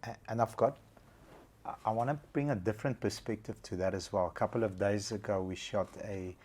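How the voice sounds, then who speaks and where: An earnest man from Bulgaria hosts the conversation with a fairly low voice. He sounds encouraging, even when he stumbles over a word. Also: fairly quick, breathy edge. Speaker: interview host. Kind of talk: casual interview talk.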